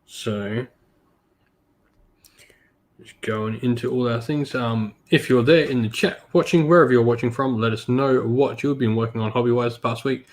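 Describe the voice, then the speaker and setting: A man hosting a casual hobby stream, a little croaky from being sick, laid-back with lots of ums. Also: medium pitch, moderate pace. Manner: laid-back, many ums, greets chat viewers by name. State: slightly croaky from illness. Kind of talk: casual live-stream chat while painting miniatures.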